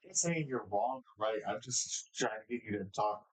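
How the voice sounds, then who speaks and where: A man's voice: medium pitch, lively intonation, a little fast, low-quality recording. Playful slangy man co-hosting a podcast. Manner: jumpy, playful, slangy, leads the questions. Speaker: podcast co-host. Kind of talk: casual chat.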